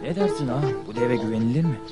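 A man's voice: mid-range voice, rapid-fire, over loud music, lightly acted. A man playing a mistrustful young peasant brother in an old fairy-tale cartoon. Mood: doubtful.

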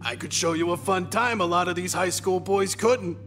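A man's voice: high-pitched, normal speed, fairly bright tone, soft background music. An actor voicing a creepy older male gym teacher in a visual novel, brusque and smarmy. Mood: leering.

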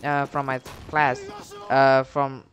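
A man's voice: mid-range voice, completely flat delivery, takes it slowly, a bit bright, highs cut off, soft background music. A young man casually telling a story while commentating on a game, rambling in slang with heavy swearing. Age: young adult. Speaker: gaming video creator telling stories over gameplay.

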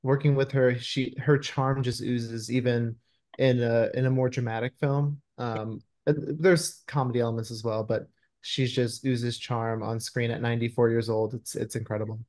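A man's voice: medium pitch, flat delivery, moderate pace, a little mellow. A man in his thirties talking casually as a screenwriter guest in an interview on a video call. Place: room at home, indoors.

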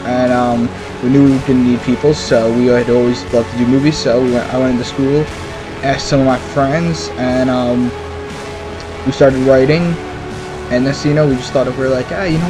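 A man's voice: medium pitch, moderate pace, rough recording. A young man, creator of a hobby film series, speaking earnestly with many fillers. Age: young adult. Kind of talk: casual interview. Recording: music bed underneath.

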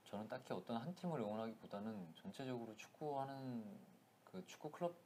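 A man in his thirties, a guest in a casual interview, talking softly and thoughtfully with frequent laughs, on a lapel mic in a small indoor room. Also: medium pitch, expressive, a little hiss or hum. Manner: soft-spoken, laughs often, thoughtful pauses. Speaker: actor guest.

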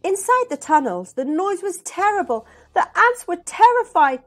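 A woman's voice: very high voice, moderate pace. A middle-aged woman hosting and reading a children's storybook aloud, expressively. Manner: expressive, addressing children directly. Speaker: storyteller and host. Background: background music.

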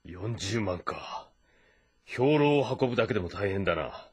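A confident warlord in a historical game drama. His voice is on the low side and rough-edged, weighty with dignified command, in a dry studio sound. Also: expressive, measured pace, no room sound. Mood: pensive, authoritative. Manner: weighty, authoritative.

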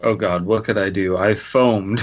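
A man's voice: fairly low pitch, steady tone, measured pace. A man hosting a podcast, reading a story aloud and breaking off to joke about it. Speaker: podcast host. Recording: slightly dull, lo-fi sound.

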